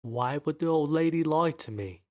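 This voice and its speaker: Man putting on a raised-pitch defiant young huntress. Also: moderate pace, natural voice. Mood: doubtful. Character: defiant young female monster hunter. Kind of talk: amateur voice-over of game dialogue.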